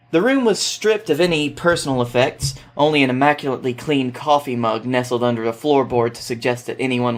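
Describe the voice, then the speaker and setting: A man appearing as a podcast guest, talking casually and reading aloud. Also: medium pitch.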